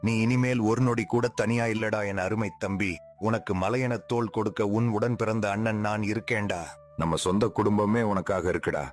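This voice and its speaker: A man's voice: fairly low pitch, fairly even intonation, normal speed, natural voice. A man playing a protective, wealthy elder brother in a dramatised moral story.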